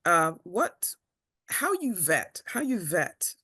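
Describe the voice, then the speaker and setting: A woman from Kenya, interviewing a guest over a video call, halting as she searches for words. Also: lowish voice, very animated, pushed and bright, husky.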